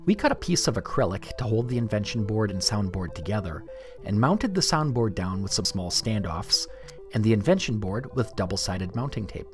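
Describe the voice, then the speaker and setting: A man narrates a scripted hands-on tutorial over light background music. His voice sits a little low, and his intonation moves around in a lively way. He lays out instructions step by step. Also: moderate pace. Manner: clear step-by-step instructional delivery.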